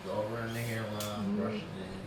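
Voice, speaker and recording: A man's voice: medium pitch, sing-song, moderate pace. A middle-aged man, chatting casually as an interview guest in slangy, repetitive phrasing. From Philadelphia and New York, United States. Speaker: guest, barber and product CEO. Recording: echoey room with loud background noise.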